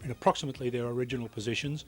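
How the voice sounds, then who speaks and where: A man narrates a technical training film from a scripted text. His pitch is average and his delivery is precise and matter-of-fact. Music plays clearly behind him on a VHS tape transfer. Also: steady tone, very fast.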